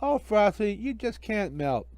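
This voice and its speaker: A man's voice: high-pitched, sing-song, unhurried, darkish tone, no room sound, pitched above the performer's own voice, full character voice. A man voicing a sweet, worried young girl in a children's story, pleading and polite. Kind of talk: amateur children's storybook reading.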